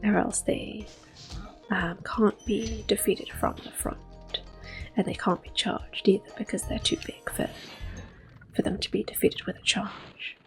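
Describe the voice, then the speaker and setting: Female ASMR gaming host, 30s: soft whisper, gravelly fry, slow. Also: medium pitch, steady tone, rough recording. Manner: whispered, unhurried, gentle. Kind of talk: soft-spoken ASMR game commentary. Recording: soft game music in background.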